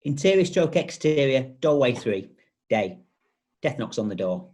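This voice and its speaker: A man's voice: medium pitch, sing-song, a little slow, darkish tone. A man reading stage directions as scripted narration.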